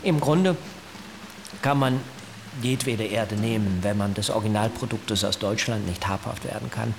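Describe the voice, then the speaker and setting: An actor playing a zealous, self-righteous German cult founder who speaks pompously and formally in a radio drama. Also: male voice, on the low side, creaky, music in the background. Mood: earnest, lofty. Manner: pompous, measured, formal speech. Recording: hiss, long reverberant room.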